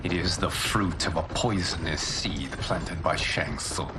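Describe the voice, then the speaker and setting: An actor playing a wise, noble protector of a realm in a video game, with a measured, grave, formal way of speaking. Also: male voice, deep voice, fairly even intonation, background noise. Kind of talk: dramatic video game acting. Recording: music behind.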